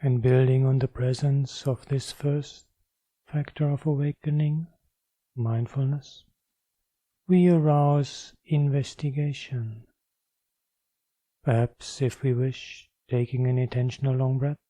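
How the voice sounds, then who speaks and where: Very dark, mellow-voiced man guiding meditation. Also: mid-range voice, fairly even intonation, dry recording, rough recording. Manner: measured, deliberate phrasing with pauses. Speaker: meditation teacher. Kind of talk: guided meditation.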